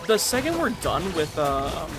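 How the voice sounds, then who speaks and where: A man's voice: on the high side, sing-song, a little slow, over loud music. A man streaming a game with casual chat commentary, rambling along with lots of filler words. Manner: rambling, filler-heavy, frequent "like".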